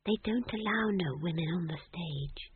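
A man's voice: sits high, sing-song, moderate pace, a little hiss or hum, voice pushed up. A man voicing an anxious young witch in a storybook reading, hesitant, weak and placating. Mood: wretched, placating. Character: timid anxious young witch, patiently placating her elder. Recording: thin phone-like lo-fi audio.

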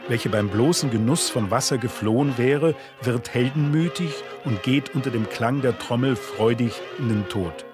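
Man, scripted literary radio narration, measured delivery, mid-range voice, musical backing. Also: mid-range voice.

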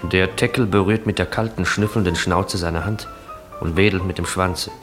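A man narrating scenes in a German literary radio drama in a measured, matter-of-fact way. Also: sits low, fairly even intonation, over music, close to an everyday voice. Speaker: narrator of stage directions.

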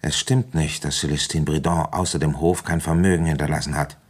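A man's voice: extremely low pitch, fairly even intonation, a little fast, husky. An actor in a German radio drama playing an avuncular, ambitious police commissioner in his fifties who has a feverish cold and talks bluntly and coaxingly. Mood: confronting. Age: middle-aged. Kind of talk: German radio drama acting and first-person narration.

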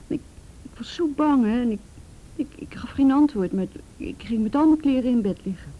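A woman's voice: on the high side, expressive, moderate pace, highs cut off. An actress playing a frightened teenage girl in a Dutch radio play, stammering and repeating words as she recounts painful memories. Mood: frightened, agitated.

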